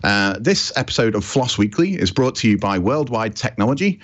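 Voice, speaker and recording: A man's voice: lowish voice, very animated, fairly quick. British man hosting, slightly dull sound. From Britain. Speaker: podcast host. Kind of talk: chatty podcast presenting.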